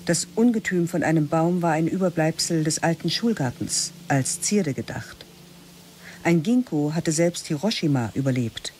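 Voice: mid-range voice, animated, normal speed, a little mellow, a little hiss or hum. A narrator telling a radio drama in terse, matter-of-fact sentences. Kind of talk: scripted narration.

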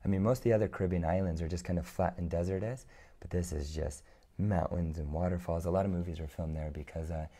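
A young man in his twenties, hoarse from being sick, chatting easygoing as an interview guest on a lapel mic in a large indoor studio. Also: low voice, fairly even intonation, fast, warm tone. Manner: easygoing, many fillers and restarts. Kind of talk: casual interview chat.

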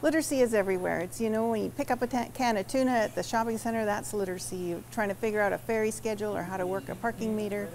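A woman speaking quickly and emphatically in an interview. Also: medium pitch, darkish tone, slight background noise. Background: crowd chatter.

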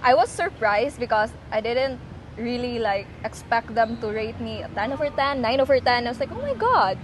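A woman's voice: fairly high pitch, animated, normal speed. A twenty-year-old woman with a Filipino accent chatting as an interview guest, retelling things animatedly, outdoors with some street noise. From Philippines. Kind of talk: casual chat. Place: outdoor bench in a public plaza.

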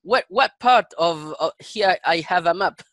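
A middle-aged man with a Chilean accent and Spanish as his native language, chatting in halting English and repeating phrases as he searches for words. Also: high-pitched, animated, fairly bright tone, no echo. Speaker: English learner. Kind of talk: casual conversation practice. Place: indoor room on a video call. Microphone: headset.

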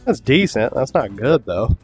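A young man talking through his gameplay, excitable and quick to swear, with frequent laughter. His voice sits at an ordinary middle pitch. Faint game music plays underneath. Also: slightly rough. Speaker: gaming YouTuber. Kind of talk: casual gameplay commentary.

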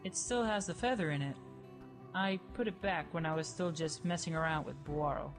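A woman's voice: deep voice, moderate pace, music in the background, lightly acted. Snarky young creature heroine, voiced by a woman. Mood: grumbling. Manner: snarky, expressive, colloquial. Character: sarcastic, brash young female creature, dead heroine. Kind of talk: dramatized webcomic audio play.

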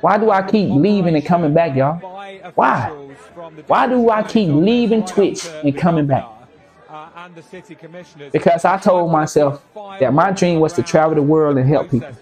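A man's voice: fairly high pitch, unhurried, pauses often, darkish tone. A man in his thirties streaming games and giving a rambling, preachy motivational talk to his viewers. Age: 30s. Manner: preachy, repeats himself, addresses viewers as y'all. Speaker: game streamer. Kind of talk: casual motivational talk to a live chat.

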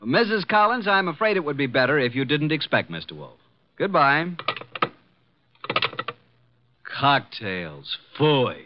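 An actor playing a breezy, wisecracking detective's assistant, glib and sarcastic, in old-time radio drama style. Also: male voice, a little high, big pitch swings, normal speed, slight background noise. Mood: glib, polite. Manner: glib, sarcastic, smooth-talking. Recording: muffled vintage radio broadcast.

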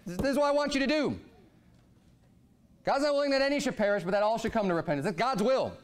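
A pastor in his thirties preaches from the pulpit in a high, bright-edged voice. He talks with fervor and swings from low, quiet asides up to ringing exclamations. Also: quick pace. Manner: animated preaching, voice rising and falling a lot. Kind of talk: sermon. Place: church sanctuary, speaking at a pulpit.

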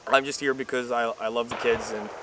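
A young man in a casual outdoor interview, speaking haltingly and repeating words as he goes. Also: mid-range voice, flat delivery. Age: young adult. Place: open field outdoors. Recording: faint outdoor background noise.

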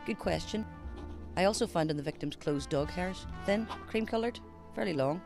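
An actor playing a matter-of-fact, methodical forensic pathologist in an amateur radio drama, explaining findings in a measured way. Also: mid-range voice, lively intonation, gravelly, music in the background.

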